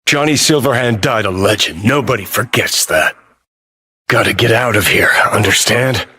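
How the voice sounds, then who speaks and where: An actor playing a cynical, brash rebel rocker in a video game, with a sardonic, profane and taunting manner. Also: male voice, lowish voice, normal speed, pushed and bright, husky, full character voice. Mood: cocky, determined.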